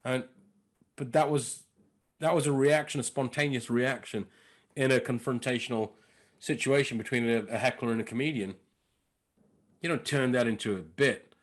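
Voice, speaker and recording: A male comedian telling stories in a casual interview, lively, acting out the other people's lines. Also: medium pitch, moderate pace, pauses often. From lives in North London. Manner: animated, acts out dialogue in put-on voices. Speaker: comedian and impressionist, guest.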